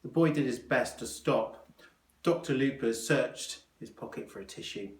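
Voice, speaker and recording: A man reading a children's story aloud as a teacher would, with expressive narration. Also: mid-range voice, measured pace. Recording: echoey room.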